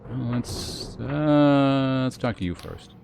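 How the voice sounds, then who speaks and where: A man's voice: mid-range voice, expressive, slow, creaky, over music. A man chatting and commentating on a live game stream in a rambling, wry way, with faint noise on the recording.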